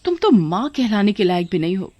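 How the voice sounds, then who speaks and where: A woman's voice: medium pitch, sing-song, fairly quick, warm tone, no room sound, muffled recording. A woman voicing an outspoken, insistent young wife in a cartoon story. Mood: accusing. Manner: urgent, insistent, accusing. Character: educated, outspoken young wife confronting deceit.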